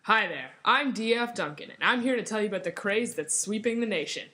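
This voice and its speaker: Woman acting salesy 1920s radio pitchwoman. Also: expressive, fairly quick, very noisy, lightly acted. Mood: cheery, salesy. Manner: salesy, enthusiastic. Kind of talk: scripted 1920s radio advertisement.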